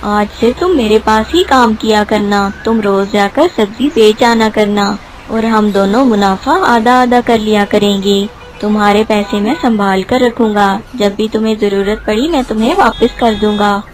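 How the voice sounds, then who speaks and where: A woman's voice: mid-range voice, normal speed, few pauses, slight background noise, pitched below the performer's own voice, lightly acted. A woman voicing a kind, fatherly male vegetable seller who speaks reassuringly in a children's cartoon story. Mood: kindly, reassuring.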